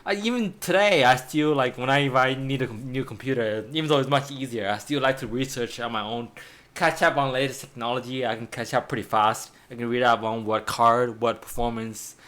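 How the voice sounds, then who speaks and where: A man's voice: mid-range voice, fairly quick, fairly bright tone. A man in his thirties whose first language is Chinese, an art teacher chatting casually while drawing, with lots of restarts and laughter. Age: 30s. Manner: rambling, restarts, laughs often.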